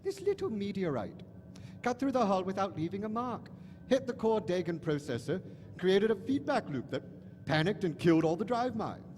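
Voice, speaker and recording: Casting: eccentric alien genius villain, high-pitched and gleefully disdainful, big theatrical stage delivery in a reverberant hall. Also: male voice, sing-song, moderate pace, darkish tone. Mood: wistful, scheming. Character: eccentric, flamboyant alien mad professor, gleefully callous toward humans. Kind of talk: live radio-play stage acting. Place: large hall stage at a convention. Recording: echoey hall stage recording, faint noise.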